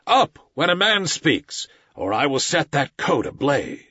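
A man voicing a defiant boy raised by wolves, with a husky voice and a dull recording. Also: a little high, big pitch swings, measured pace, no room sound, full character voice. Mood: fierce. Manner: shouting commands, then sobbing pleas.

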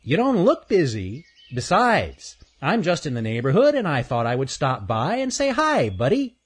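Man doing a cocky, teasing chipmunk, pitched up. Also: huge pitch swings, moderate pace, breathy edge, exaggerated voice. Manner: teasing, pushy.

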